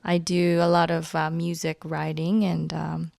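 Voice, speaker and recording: A woman from Osaka whose first language is Japanese, co-hosting a language podcast as teacher, relaxed and often laughing. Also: sits low, vocal fry, dry recording, studio quality. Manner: giggly, relaxed, slow and unhurried. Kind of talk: casual conversational lesson.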